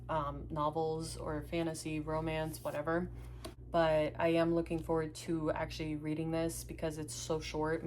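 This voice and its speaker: A woman's voice: low voice, a little hiss or hum. A young woman, Russian her first language, chatting casually as she unboxes books and reads blurbs aloud at home, laughing at her own stumbles. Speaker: book unboxing vlogger.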